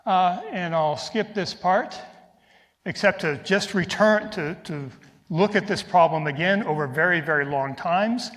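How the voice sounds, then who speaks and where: A man's voice: high voice, a little slow, a bit bright. A middle-aged man giving an academic research talk in an enthusiastic, reflective manner. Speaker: lecturer. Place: lecture hall. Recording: reverberant lecture hall.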